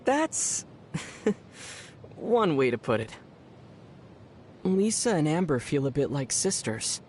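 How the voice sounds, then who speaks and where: A woman playing a young male traveler hero in a video game, calm, dry and gently teasing. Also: sits low, slow pace, a little hiss or hum, exaggerated voice. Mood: amused.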